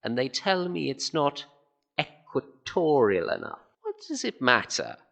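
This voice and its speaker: Weary old alien craftsman , acted by a man, creaky voice, swooping intonation, eccentric and resigned, amateur radio drama. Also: mid-range voice, huge pitch swings, unhurried, a bit bright, full character voice. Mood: wistful, rambling. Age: older. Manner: rambling, whimsical, world-weary. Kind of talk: amateur audio drama acting.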